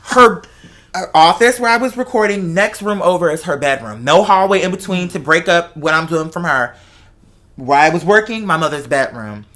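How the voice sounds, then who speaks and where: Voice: medium pitch, lively intonation, moderate pace, a bit bright. A host talking casually and from the heart, emphatic and given to repeating phrases for effect. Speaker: host of the show; artist who makes videos and music.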